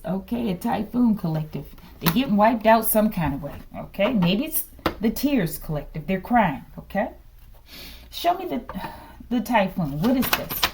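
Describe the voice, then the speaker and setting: A woman giving an improvised, informal tarot reading to an online audience, asking rhetorical questions, tagging her phrases with "okay" and dropping into hushed asides. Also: medium pitch, background noise. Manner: repeats rhetorical questions and verbal tags like "okay". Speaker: tarot reader, host of the reading.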